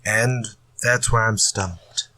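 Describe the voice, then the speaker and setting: An actor playing an exasperated, fretful mystery writer in a retro radio drama. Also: male voice, on the low side, steady tone, takes it slowly, bright, forceful tone, no echo. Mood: frustrated. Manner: fretful, muttering to himself when stuck. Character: exasperated male mystery writer stuck on his story.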